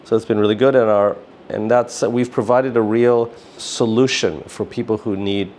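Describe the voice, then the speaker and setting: A man's voice: lowish voice, steady tone, moderate pace, a little mellow, a little hiss or hum. A middle-aged man speaking casually as an interview guest, in a rambling way peppered with filler phrases. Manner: rambling, often says "you know" and "like". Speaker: interview guest, business founder.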